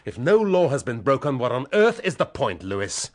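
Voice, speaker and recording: An actor playing a dry, sardonic middle-aged police inspector in a radio drama. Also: male voice, mid-range voice, big pitch swings, normal speed, close to an everyday voice. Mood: weary. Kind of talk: BBC radio drama acting.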